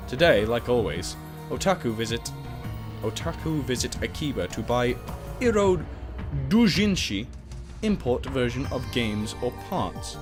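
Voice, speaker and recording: A man's voice: mid-range voice, very animated, unhurried, over music. A man hosting a let's play, reading game text aloud and breaking into jokey, exclamatory asides. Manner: jokey, exclamatory, swings between reading and goofy outbursts. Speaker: host of a game playthrough series.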